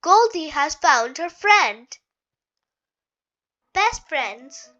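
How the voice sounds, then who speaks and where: Slow, high-pitched woman reading storybooks. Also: very animated, bright, forceful tone, highs cut off, faint music behind. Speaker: storybook reader. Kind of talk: children's read-aloud narration.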